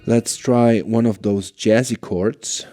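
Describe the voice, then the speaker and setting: A man's voice: fairly low pitch, fairly even intonation, slow, mellow, no echo, studio quality, faint music behind. A man talking casually and musingly as he hosts a gear demo, often checking himself with a tentative "right?". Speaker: guitar gear reviewer and host. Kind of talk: casual product demo talk.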